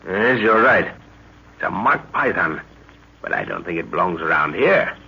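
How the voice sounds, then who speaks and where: An actor playing a steady, authoritative professor and father on safari in old radio style, with brisk old-fashioned exclamations. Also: sits low, gravelly, husky, heavily acted. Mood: calm, commanding. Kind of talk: 1940s radio acting. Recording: muffled, noisy old radio recording.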